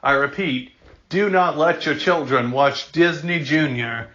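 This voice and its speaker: Slow, bright-voiced man reading emergency alerts. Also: on the high side. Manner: solemn, deliberate, emphatic, stumbles and repeats words. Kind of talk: scripted mock emergency broadcast narration. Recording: dull lo-fi recording.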